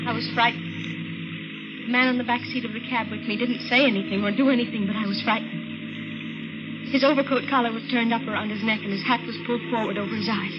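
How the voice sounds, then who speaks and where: An actress as a frightened woman, high-pitched and breathless, pleading and hesitant in classic 1950s radio suspense style. The old recording is muffled and heavily noisy. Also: steady tone, brisk, natural voice. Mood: haunted narration. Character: anxious, frightened woman, stranded late-night cab passenger. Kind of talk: 1950s radio drama acting.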